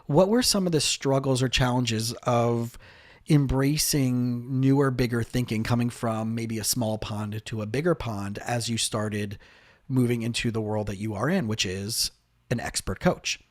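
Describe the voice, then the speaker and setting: Upbeat guy hosting a podcast, medium pitch, repeats himself, really dry close recording. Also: measured pace, dry recording. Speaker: podcast host.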